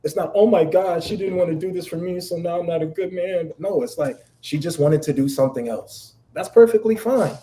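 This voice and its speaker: A man with a deep voice talking bluntly and emphatically in a casual live-stream chat, swearing freely. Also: a little fast, hardly any pauses, dark tone. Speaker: guest.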